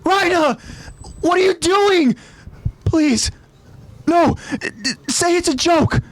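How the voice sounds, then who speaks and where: A man's voice: very high voice, sing-song, unhurried, pitched above the performer's own voice, full character voice. A man voicing a panicked young male soldier who pleads and screams in terror. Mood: terrified.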